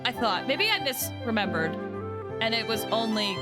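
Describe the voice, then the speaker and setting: A woman's voice: a little high, animated, moderate pace. A woman streaming a video game, giving casual live commentary into her microphone. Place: indoor streaming setup. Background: loud game music.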